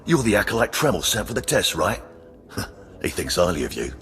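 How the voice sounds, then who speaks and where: An actor playing a gruff, callous, sardonic prison jailer in a video game, with a gravelly voice and rough colloquial speech. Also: male voice, sits low, big pitch swings, normal speed, fairly bright tone, faint music behind. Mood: gruff, businesslike. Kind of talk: video game character acting.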